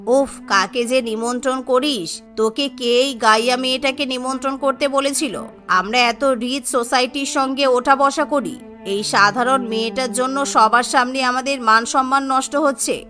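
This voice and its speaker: A woman's voice: high voice, steady tone, moderate pace, sharp tone, soft background music, voice pushed down. A woman voicing a snobbish, scolding middle-aged rich mother in a story, with a haughty, disapproving manner. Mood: annoyed, contemptuous.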